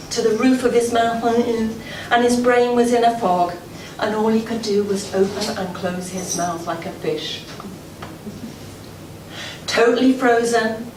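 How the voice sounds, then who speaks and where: A woman delivering a prepared after-dinner address as club president, telling a story in a deliberate, measured way with dramatic pauses. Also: medium pitch. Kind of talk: prepared public speech, storytelling. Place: indoor gala dinner room before an audience. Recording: echoey room, far from mic, noisy.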